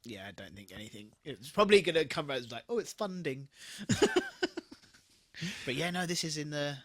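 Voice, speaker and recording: A man co-hosting a podcast in casual, expressive chat, reacting freely and swearing easily. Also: a little high, normal speed, dry recording. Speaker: podcast co-host.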